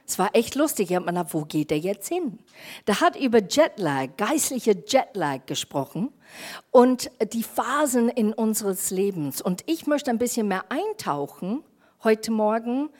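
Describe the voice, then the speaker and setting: A woman's voice: on the high side, sing-song, measured pace, a little mellow. A woman pastor with an English accent, preaching in an informal, chatty way with fillers and slips in grammar. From half German, half English. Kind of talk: sermon.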